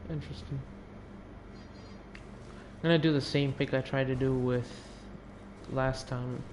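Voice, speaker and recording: A man's voice: medium pitch, fairly even intonation, unhurried, noisy background. A teenage young man giving casual, offhand gameplay commentary, muttering and sometimes sweary. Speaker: gamer, video maker. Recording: game music behind the voice.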